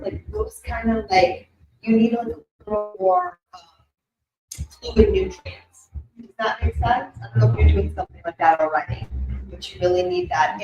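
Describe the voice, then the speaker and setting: A woman's voice: on the high side, creaky, a little mellow, dull audio. A woman giving intuitive readings to a live audience, chatty and rambling, with many fillers and asides. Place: event room with an audience. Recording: echoey room.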